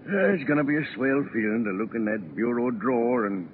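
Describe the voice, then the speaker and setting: A man's voice: mid-range voice, animated, a little fast, narrow, tinny audio, close to an everyday voice. A man playing a contented middle-aged farmer and proud father in a 1940s radio play, folksy and clipped. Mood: pleased, proud. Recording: noisy band-limited 1940s radio.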